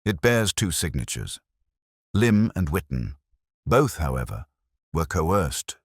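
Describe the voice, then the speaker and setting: A man voicing a commanding, shrewd detective in an audiobook, speaking in deliberate, authoritative statements. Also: sits low, big pitch swings, slightly rough, no room sound, studio quality, exaggerated voice. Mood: accusing, measured.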